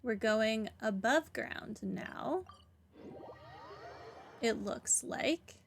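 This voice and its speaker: A woman's voice: on the high side, lively intonation, very slow, creaky, a little hiss or hum. A woman giving casual commentary while playing a video game. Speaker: gamer doing a playthrough.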